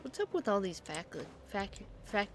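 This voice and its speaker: A woman's voice: mid-range voice, big pitch swings, normal speed, creaky, background noise. A woman game streamer chatting casually over gameplay with rambling asides.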